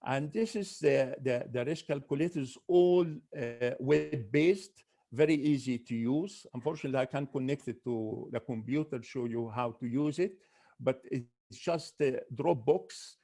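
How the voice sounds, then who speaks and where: Brief: male ENT doctor lecturing a webinar, mid-pitched, steady, methodical, with small restarts. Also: measured pace. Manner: careful, listing symptoms one by one with frequent restarts. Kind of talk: medical lecture.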